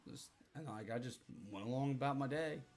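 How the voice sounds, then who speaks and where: A man in his thirties with a hoarse voice, chatting casually and profanely with his livestream audience. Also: medium pitch, fairly quick, darkish tone, faint music behind. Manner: rambling, profane, joking with chat.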